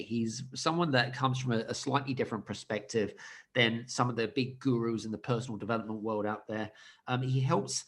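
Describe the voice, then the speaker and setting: A male podcast host with a mid-pitched voice who talks with eager energy. He punches key words loudly and often restarts his phrases as he introduces a guest. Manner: lively, emphatic, loud greetings with halting restarts. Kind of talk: enthusiastic podcast interview.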